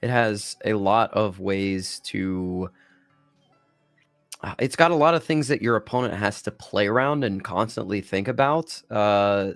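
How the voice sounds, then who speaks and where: A man's voice: on the low side, no room sound. A man co-commentating on a card game, giving analysis while still getting over a bad cough.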